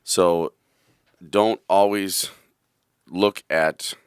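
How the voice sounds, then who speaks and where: Hoarse radio host, very low voice, dry studio sound, loose rambling storyteller. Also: male voice, fairly even intonation, very slow, dry recording. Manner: rambling storyteller, self-deprecating. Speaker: radio show host, real estate agent. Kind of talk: casual radio talk.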